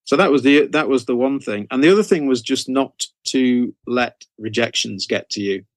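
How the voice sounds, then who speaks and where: A man's voice: mid-range voice, normal speed, dry recording. A middle-aged man giving conversational interview answers as a guest author, rambling a little as he repeats and rephrases.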